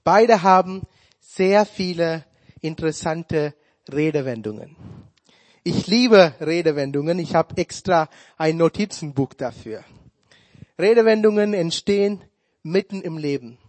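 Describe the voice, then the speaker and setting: A man whose first language is Tamil, preaching a sermon in a slow, deliberate way with touches of humor. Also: fairly high pitch, lively intonation, dry recording.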